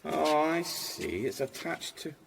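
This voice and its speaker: A man's voice: a little high, very animated, takes it slowly, vocal fry, noisy background, exaggerated voice. An actor playing an eager, polite and long-suffering male police sergeant in a radio drama, deferential but a little cheeky. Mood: curious. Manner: earnest, deferential yet cheeky.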